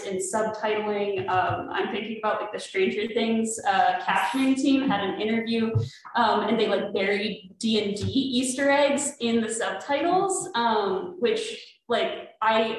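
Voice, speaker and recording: A woman moderating a panel, speaking in a curious, conversational way. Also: medium pitch. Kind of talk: panel discussion. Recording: echoey room.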